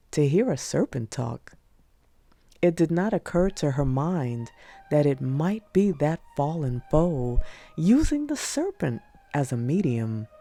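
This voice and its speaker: A woman narrating religious prose as an audiobook, in a measured, solemn read-aloud delivery. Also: very deep voice, warm tone. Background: music.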